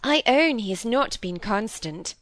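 A woman's voice: fairly high pitch, expressive, a little slow, a bit bright, dry recording, pitched above the performer's own voice. A woman voicing a timid, sentimental older spinster from a storybook in a tender, piping voice. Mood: tender, wistful.

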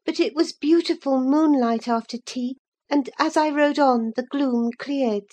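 Woman voicing a haughty, high-pitched, spirited young lady. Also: measured pace, dark tone. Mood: indignant. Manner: exclamatory, mocking. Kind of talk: dramatised classic novel reading.

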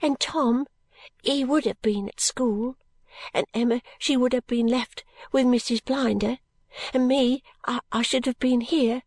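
Lady doing a timid little maid girl for an audiobook, high soft breathy voice, all tearful and grateful, halting. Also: steady tone, dry recording. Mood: tearful, earnest. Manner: halting, deferential, unschooled speech. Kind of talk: audiobook character voice.

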